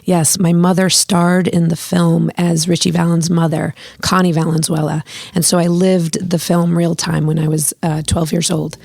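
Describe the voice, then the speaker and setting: A woman's voice: lowish voice, flat delivery, normal speed, creaky, hardly any pauses, a little mellow, no room sound, studio quality. Chatty woman host with a scratchy voice. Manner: chatty, laughs often. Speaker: podcast host. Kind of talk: casual podcast interview.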